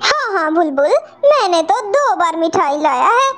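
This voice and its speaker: A woman's voice: very high voice, sing-song, measured pace, a bit bright, faint music behind, full character voice. A woman voicing a sly, greedy, boastful female crow in a children's cartoon, with a brash and cunning manner. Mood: coaxing, sly. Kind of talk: Hindi children's cartoon dialogue.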